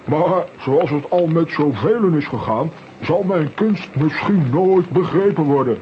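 An actor playing an excitable, self-important comic adventurer with theatrical delivery in a children's audio drama. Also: male voice, on the high side, a little slow, dull audio, a little hiss or hum. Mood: self-satisfied.

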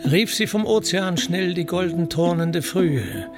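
Solemn male epic narrator, fairly high voice. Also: measured pace, over music. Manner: solemn, declamatory, formal. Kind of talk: scripted literary narration of epic verse.

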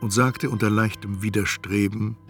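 An actor playing a reflective, uneasy narrator, telling his tale in measured literary radio play narration. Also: on the low side, fairly even intonation, gravelly, a bit bright, faint music behind. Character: reflective male first-person narrator, uneasy and self-observing.

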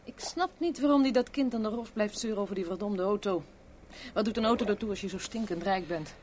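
An actor playing a casual, sardonic host offering drinks in a 1970s radio drama. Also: male voice, sits high, a little fast, a little mellow, a little hiss or hum, close to an everyday voice.

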